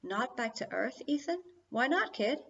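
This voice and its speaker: A wry talking spaceship voiced by a woman reader speaks in a measured, unhurried way. It has a dry storybook manner. The recording is slightly dull. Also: fairly high pitch, big pitch swings, gravelly, a bit bright, slight background noise, pitched above the performer's own voice, full character voice. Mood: wry, questioning. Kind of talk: audiobook character dialogue.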